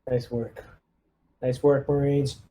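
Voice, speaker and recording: A man's voice: medium pitch, level tone, takes it slowly, warm tone, lightly acted. A man playing a relieved, weary male lieutenant of space marines in a tabletop game. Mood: weary relief.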